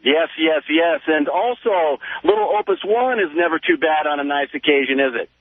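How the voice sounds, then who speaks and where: A man's voice: on the high side, normal speed, no echo. An American man calling a radio show, chatting casually in a chummy, talkative way over a phone line. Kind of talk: casual chat.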